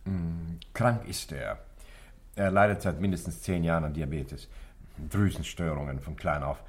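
A deep actor's male voice as a family doctor in a radio crime drama. He is reserved and measured, choosing words with some hesitation. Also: a little hiss or hum, lightly acted.

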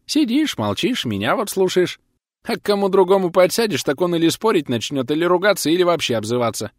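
A man's voice: high voice, big pitch swings, brisk, no echo, studio quality, voice pushed up. A man voicing a chatty, cheeky old male patient who boasts in sly, folksy speech for an audiobook. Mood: cheerful, chatty.

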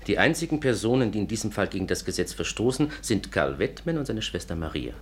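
An actor playing a polite, earnest, slightly hesitant gentleman client in a 1950s radio drama. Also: lowish voice, very animated, fast, slightly rough. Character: courteous, earnest gentleman client offering money.

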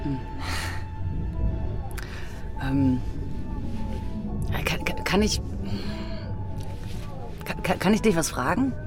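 A nervous, guarded young shipmate. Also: female voice, low-pitched, animated, very slow, long pauses, music in the background, heavily acted. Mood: shy, hesitant. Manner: hesitant, stammering. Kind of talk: German audio drama acting.

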